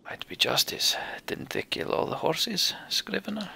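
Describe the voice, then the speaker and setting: A man voicing a gruff, suspicious horned giant king from a game, with a blunt, challenging manner. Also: high-pitched, animated, a little slow, bright, forceful tone, pitched above the performer's own voice, exaggerated voice.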